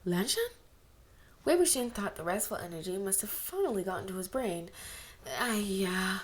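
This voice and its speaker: A woman's voice: mid-range voice, lively intonation, moderate pace. A woman narrating a story as an amateur reader, expressively acting out the dialogue. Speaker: amateur audiobook reader of fan fiction. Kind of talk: scripted story narration with character voices.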